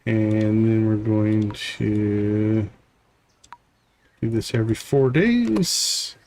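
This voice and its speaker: A man's voice: fairly low pitch, drawn-out words, darkish tone, slight background noise. A man doing casual live game commentary, thinking aloud and talking himself through each task step by step. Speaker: live game streamer, host.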